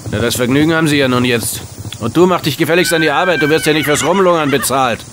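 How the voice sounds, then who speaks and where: Man as hostile stable boss, brusque, bossy, slightly high voice, audio drama, clear yard sounds. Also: quick pace, noisy background, lightly acted. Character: gruff, hostile male racing-stable boss. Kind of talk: German audio drama acting.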